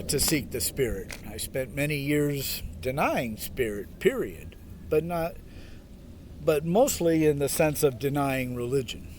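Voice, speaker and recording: Slow-talking man with lively, swinging pitch. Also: a little hiss or hum. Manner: halting, wry, laid-back asides. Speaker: self-styled priest, meditation video host. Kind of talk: reading aloud with informal reflective commentary. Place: outdoors in a park.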